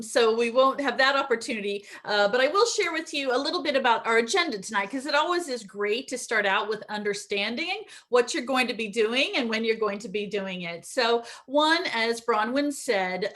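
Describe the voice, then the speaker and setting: Quick upbeat woman on a video call. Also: a little high, a bit bright. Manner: fast, upbeat, frequent ums. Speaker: university teacher trainer. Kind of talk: online teacher training presentation.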